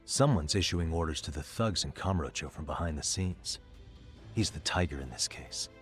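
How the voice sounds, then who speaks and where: A probing game detective, deep low voice, steady pressing delivery, faint music behind. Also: male voice, normal speed. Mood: stern. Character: determined male detective, calm and probing. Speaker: detective in cutscene. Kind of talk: game voice acting, dub.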